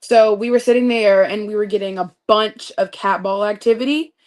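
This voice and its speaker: A young adult woman telling a story in casual conversation, expressive and full of vivid detail. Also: medium pitch, normal speed.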